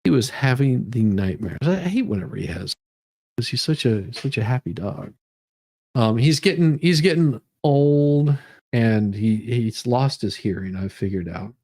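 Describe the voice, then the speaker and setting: A man is hosting a casual livestream from a room at home. His voice sits at an average male pitch, with very little room sound. He rambles along haltingly, often repeating words as he chats to his viewers. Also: animated, a little mellow, no room sound, studio quality. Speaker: livestream host.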